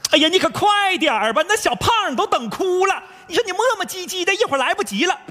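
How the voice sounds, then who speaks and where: High-pitched fussy Northeastern Chinese father. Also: lively intonation, fast talker, pushed and bright, big-room sound, exaggerated voice. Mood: fussy, nagging. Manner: naggy, exclamatory. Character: fussy, bashful middle-aged father, flustered and nagging. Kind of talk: stage comedy sketch acting.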